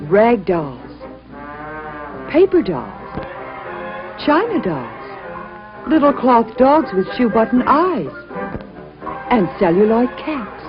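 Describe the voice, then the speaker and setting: Slow, animated woman storyteller. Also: a little high. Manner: dramatic, emphatic, acts out sound words. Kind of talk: expressive scripted children's story narration. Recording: dull lo-fi recording, music behind.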